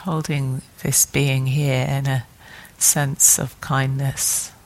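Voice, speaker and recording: A woman meditation teacher leading a guided meditation calmly and gently, in short phrases with long pauses between them. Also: very deep voice, low-quality recording.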